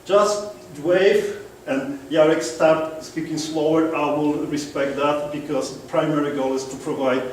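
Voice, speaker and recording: A man with a strong Polish accent gives a seminar talk, pitched a bit high. He keeps asking the room whether they agree. He is recorded from far back in a very echoey room with light noise. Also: fairly even intonation, a little slow. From Poland. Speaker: guest speaker. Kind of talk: seminar presentation. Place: meeting room.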